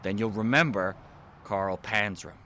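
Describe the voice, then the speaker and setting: A man's voice: fairly low pitch, measured pace, pushed and bright, slight background noise. An actor playing a down-on-his-luck, menacing convict's son in an audio drama, with a streetwise, casual, insinuating manner.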